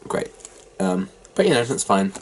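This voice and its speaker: A young English man hosting a reaction video, nasal and hoarse from a heavy cold, rambling casually with lots of ums. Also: mid-range voice, normal speed, slight background noise. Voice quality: hoarse from a sore throat. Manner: rambling, lots of ums, mildly flat delivery. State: nasal, blocked-up head cold. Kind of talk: casual chat to camera.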